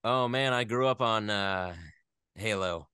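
A man's voice: fairly low pitch, measured pace, sharp tone, smooth, no room sound, studio quality. A man, a voice actor, chatting casually as an interview guest, telling stories in a joking, self-deprecating way.

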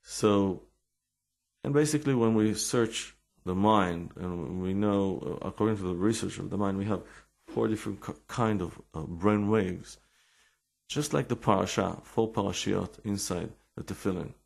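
A middle-aged Israeli man with an accent, telling his life story in halting English full of restarts and fillers. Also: on the low side, creaky, a little mellow. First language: Hebrew. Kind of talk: personal storytelling and explanation.

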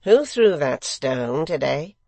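Casting: male narrator as smooth storybook schemer, falsely gentle, pious-sounding, drawn-out and insinuating. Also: a little high, very animated, no room sound, full character voice. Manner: pastor-like, mild, insinuating, drawn-out.

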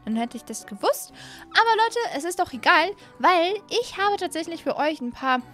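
A young woman hosting a gaming video, giving casual, animated and excitable commentary into a close desk microphone. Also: high voice. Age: young adult. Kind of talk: casual let's-play commentary. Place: small indoor streaming room. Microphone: close desk microphone on an arm. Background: faint music.

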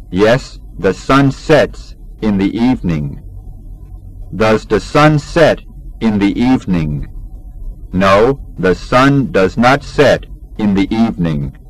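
A man reading an English textbook lesson aloud in a measured, deliberate teaching manner, enunciating each drill sentence. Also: on the low side, sing-song, faint music behind, low-quality recording.

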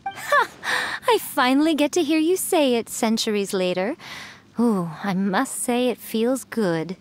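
A woman's voice: fairly high pitch, animated, unhurried. A woman voicing a sly, centuries-old fox spirit priestess who teases and enjoys herself, in a video game. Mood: gleeful. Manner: teasing, amused, playful.

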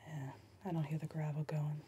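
An actress as a low, whispering anxious young woman. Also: little pitch movement, lightly acted. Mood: hushed, coaxing. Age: young adult. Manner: hushed, whispering, slow and deliberate. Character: younger backwoods woman, anxious, scolding accomplice. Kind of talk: whispered ASMR roleplay. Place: indoor room, seated at a table. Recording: close-up mic with clear background noise.

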